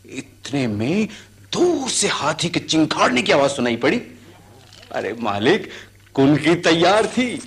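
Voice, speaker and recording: A man's voice: on the high side, slow pace, husky, exaggerated voice. An actor playing an earnest, servile male elephant catcher who tells his tales vividly in a radio drama. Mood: deferential, eager. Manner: servile address, vivid excited recounting. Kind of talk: Hindi radio drama acting.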